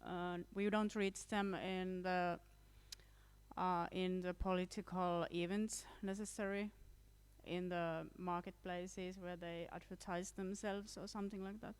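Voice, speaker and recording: A woman's voice: lowish voice, little pitch movement, unhurried, smooth. A Finnish woman, a vocational school teacher, speaking on a conference panel in hesitant English with fillers. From Finland. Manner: hesitant with fillers, coughing now and then. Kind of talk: panel contribution. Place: conference room.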